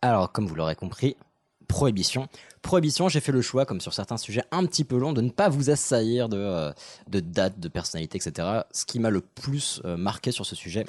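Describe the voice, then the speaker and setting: Hoarse male podcast host, quick delivery, trails off at the end of thoughts. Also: fairly low pitch, animated, no room sound. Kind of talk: casual podcast chat.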